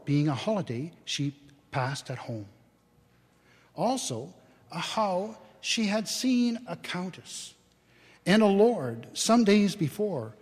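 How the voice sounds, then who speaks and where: A man giving a theatrical, expressive live storybook narration in a church. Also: fairly high pitch, slightly rough. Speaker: dramatic reader. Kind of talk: scripted storybook narration before an audience. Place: inside a church, live reading. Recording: church hall with long reverb.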